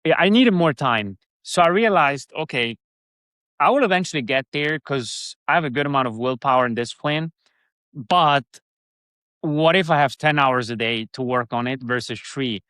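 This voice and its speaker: A man's voice: mid-range voice, animated, normal speed, fairly bright tone, smooth, dry recording. Swiss-accented man on a dry mic. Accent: Swiss German. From Switzerland. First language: Swiss German. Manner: rambling, many restarts and fillers. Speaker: guest. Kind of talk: casual interview chat.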